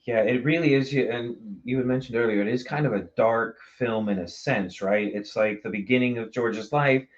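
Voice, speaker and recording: A man speaking earnestly and haltingly as a theater guest in a casual interview. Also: mid-range voice. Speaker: theater company member and guest. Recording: dull, lo-fi sound.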